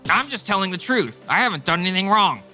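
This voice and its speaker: A man's voice: high voice, very animated, fast, bright, forceful tone, faint music behind, exaggerated voice. A man acting an arrogant, cold-hearted banker husband, irritable and condescending, in a dubbed manga drama. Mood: defensive.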